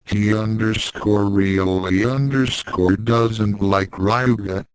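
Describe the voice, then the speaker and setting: Deep-voiced man reading as young woman, a sister. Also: slow, slight background noise. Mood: worried. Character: worried young woman, morning-person sister. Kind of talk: storybook dialogue read aloud.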